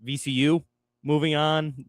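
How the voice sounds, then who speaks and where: A man's voice: a little high, flat delivery, measured pace, dry recording. A young man co-hosting a sports radio show, easygoing and agreeable. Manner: easygoing, agreeable, laughs often. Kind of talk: casual sports chat. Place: radio studio. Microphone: studio mic.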